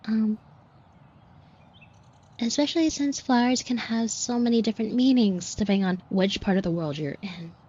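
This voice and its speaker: A woman's voice: a little high, normal speed, long pauses, dark tone. A woman playing a shy, flustered florist who talks to the listener in a hesitant, colloquial, self-deprecating way in an audio roleplay. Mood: nervous, rambling. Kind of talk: scripted roleplay acting addressed to the listener. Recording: dull muffled audio with clear noise. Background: shop ambience sound effects.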